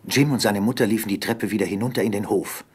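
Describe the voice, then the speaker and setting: A man with a husky voice narrating a scripted German radio play. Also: lowish voice, fast, slight background noise.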